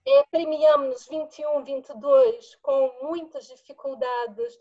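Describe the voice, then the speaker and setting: A woman with a Latin American accent, asking a long, animated question during an online seminar and laughing between phrases. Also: high voice, slow pace, highs cut off. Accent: Latin American Portuguese. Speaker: audience member asking a question. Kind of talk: webinar question.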